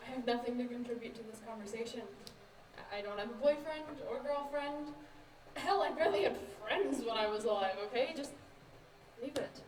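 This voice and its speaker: A lonely, defensive young woman in a stage play, played by a student actress. Her voice is high and sounds distant in a roomy, echoing space. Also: a little fast, crowd noise, natural voice. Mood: guarded, hurt. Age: young adult. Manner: guarded, defensive. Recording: echoey room, far from the mic.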